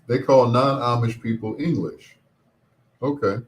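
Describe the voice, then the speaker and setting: A man hosting a casual call-in radio show, talking in a wry, exasperated, drawn-out manner. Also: medium pitch, rough recording. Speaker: radio talk show host.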